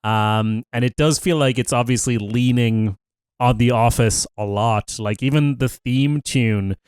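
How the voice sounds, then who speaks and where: A man's voice: medium pitch, measured pace, a little mellow, clean tone, dry recording, clean studio sound. A man co-hosting a podcast, chatting casually about TV shows and thinking aloud with fillers. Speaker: podcast co-host. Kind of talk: casual TV review chat.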